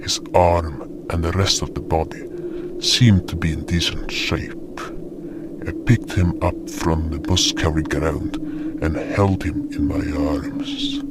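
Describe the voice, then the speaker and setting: A man acting as a grave fantasy guardian tells his tale in a low voice. The scripted narration is slow and somber, with noticeable noise behind it. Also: big pitch swings, exaggerated voice. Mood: dazed, grave. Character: somber male fantasy guardian recounting a doomed hunt. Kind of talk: scripted first-person story narration. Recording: noisy background ambience.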